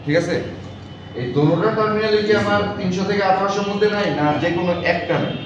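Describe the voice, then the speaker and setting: Male instructor, higher-pitched voice, quizzing and repetitive, captured in a heavily reverberant classroom. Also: fast talker. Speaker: electronics repair instructor. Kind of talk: classroom lecture with check questions. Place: echoey indoor classroom. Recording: very echoey room, far mic, clear noise, dull.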